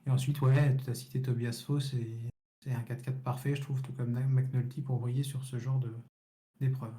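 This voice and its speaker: Very dark-voiced man giving frank opinions. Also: mid-range voice, flat delivery, normal speed. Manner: opinionated, frank, repeats words as he goes. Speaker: cycling podcast co-host. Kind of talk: casual cycling chat.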